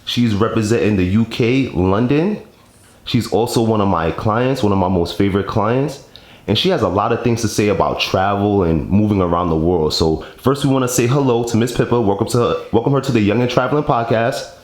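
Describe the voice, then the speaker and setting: A man hosting a podcast, asking questions in a relaxed, informal way. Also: on the low side, brisk. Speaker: podcast host. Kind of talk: casual interview. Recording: roomy room sound.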